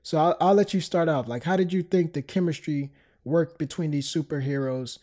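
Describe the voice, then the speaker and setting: A man's voice: on the high side, normal speed, mellow. A man hosting a podcast in casual chat, a bit stuffed up from a cold. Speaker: podcast host.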